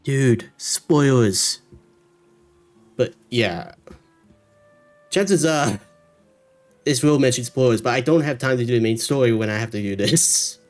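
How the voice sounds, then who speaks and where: A man's voice: mid-range voice, measured pace, long pauses. A man streaming a game live, giving casual commentary in offhand, dry asides. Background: game music.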